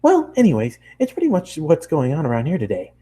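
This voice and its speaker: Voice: mid-range voice, wildly swooping pitch, brisk, mellow, husky, voice pushed up. A narrator voicing a bubbly, hyperactive young female pony baker who gushes and chatters nonstop in a cartoon story. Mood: cheerful, gushing. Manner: gushing, squealing, breathless rambling chatter.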